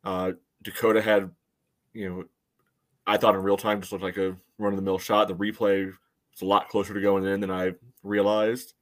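Male soccer podcast co-host, lowish voice, easygoing analysis, measured and conversational. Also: level tone.

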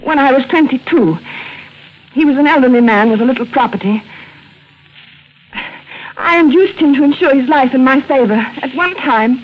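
An actress playing a calm, sinister wife confessing to poisoning in a 1940s radio drama. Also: female voice, high voice, natural voice. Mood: measured. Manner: quiet, measured, coolly sinister. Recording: dull old radio broadcast with hiss.

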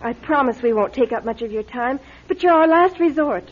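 A woman's voice: sits high, animated, a little fast, breathy edge. An actress playing an earnest, determined young engaged woman in a radio drama, polite, eager and insistent. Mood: pleading. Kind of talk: classic radio drama acting. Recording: muffled old radio drama recording.

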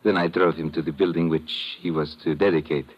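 A courteous, formal male aide with an extremely deep voice, smooth and composed, in a 1950s radio drama with muffled sound. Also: steady tone, a little fast, slight background noise. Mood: polite, composed. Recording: dull old radio broadcast.

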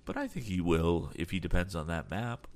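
A man voicing a calm, polite older sea captain who keeps adding apologetic asides. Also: low-pitched, normal speed.

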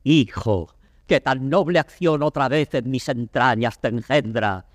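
A man acts an old, beaten king in a classical stage tragedy, with a Castilian accent. He declaims gravely and slowly, stretching his phrases. The voice rings in a long hall reverb on a vintage drama record. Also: medium pitch, studio quality, lightly acted. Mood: moved, solemn. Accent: Castilian Spanish. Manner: declamatory, grave, drawn-out delivery. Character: anguished defeated old king, a father facing fate. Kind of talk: classical Spanish verse theatre acting.

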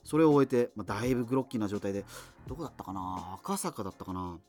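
An actor playing a wry, self-deprecating radio host in a doomed future, reminiscing in a digressive, hesitant conversational monologue. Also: male voice, lowish voice, expressive, racing, darkish tone, faint music behind, natural voice. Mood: wry, rueful.

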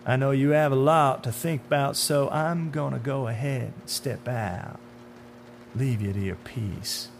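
Guy doing a sinister sneering man in a dark suit, horror story villain, theatrical n deliberate, hum in the recording. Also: medium pitch, steady tone, voice pushed up, natural voice. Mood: smug, menacing. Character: menacing, taunting dark-suited man, smooth-talking otherworldly bringer of death. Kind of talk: dramatic horror audiobook acting. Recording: audible hum behind the voice.

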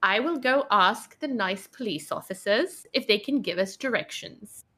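A woman's voice: mid-range voice, expressive, moderate pace, sharp tone, slightly rough. A woman guest on a podcast, chatting and telling a funny personal story. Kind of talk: casual chat, telling an anecdote.

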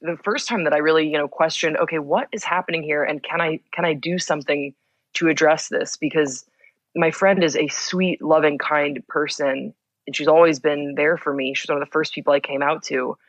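A young adult who grew up in Belgium, a guest in a casual interview, talking with quick bursts, lots of fillers and restarts. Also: mid-range voice, steady tone, a bit bright, no room sound, poor audio. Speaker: podcast guest.

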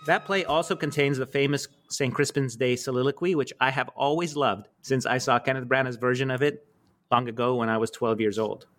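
A man's voice: mid-range voice, a little fast, fairly bright tone, faint music behind. An American man hosting a podcast, giving a chatty, self-deprecating spoken introduction. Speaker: podcast host. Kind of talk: conversational introduction.

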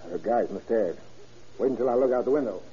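An actor playing a tough, wary gangster on the run in an old crime radio drama, talking in clipped slang. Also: male voice, medium pitch, quick pace, dark tone, breathy edge, lo-fi sound. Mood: suspicious, urgent.

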